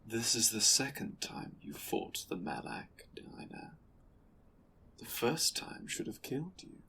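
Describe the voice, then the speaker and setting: Man acting an imperious, slow-speaking, sardonic superior. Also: mid-range voice, expressive, creaky, pushed and bright, whispered, heavily acted. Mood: cold reproach. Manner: condescending, sardonic, deliberate. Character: imperious, menacing male board leader interrogating a subordinate. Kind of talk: audio drama roleplay acting.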